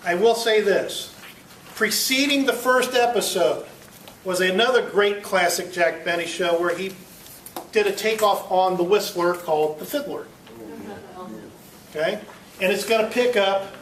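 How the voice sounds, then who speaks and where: A man's voice: sits high, fairly bright tone. An American man giving an informal introduction as a presenter at a club meeting. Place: meeting room. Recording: echoey room, distant mic, faint noise.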